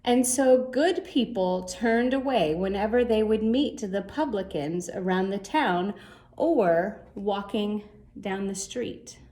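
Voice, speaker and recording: A woman reading a rhyming children's story aloud in a measured, expressive way. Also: mid-range voice. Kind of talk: scripted storybook narration in rhyme.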